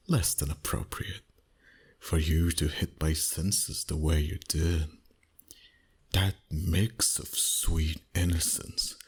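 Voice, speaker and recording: A man voicing a dominant, flirty vampire boss in an intimate ASMR roleplay, teasing slowly in a husky voice that often drops to a whisper. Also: sits low, full character voice. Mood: teasing, seductive. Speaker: voice actor. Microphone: close microphone.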